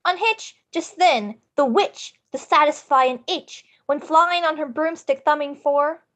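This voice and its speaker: A woman's voice: sits high, measured pace, a bit bright. A woman playing an earnest young farm girl, telling her tale in rhythmic musical lyrics read aloud. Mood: earnest, lively.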